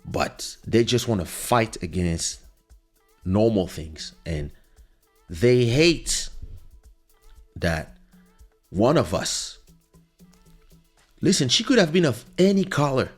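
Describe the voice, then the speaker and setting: A man's voice: mid-range voice, big pitch swings, slow, pauses often, soft background music. A man from Paris, born in Congo, speaking English with a French accent in an emphatic, reflective, unscripted podcast monologue, repeating phrases for emphasis. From born in Kinshasa, Congo, raised in Paris from age seven. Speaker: podcast host.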